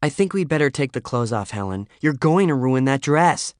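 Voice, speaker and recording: Man putting on a shrill, bossy teenage girl. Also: animated, normal speed, dry recording, studio quality, natural voice. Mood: fussy. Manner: yelling, scolding, indignant.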